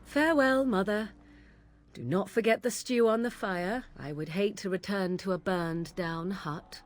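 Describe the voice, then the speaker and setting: A woman's voice: medium pitch, normal speed, lightly acted. An actress playing a haughty, sardonic young witch in a video game, with formal, archaic speech. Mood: sarcastic. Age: young adult. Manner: formal, archaic, arch sarcasm. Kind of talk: video game dialogue acting.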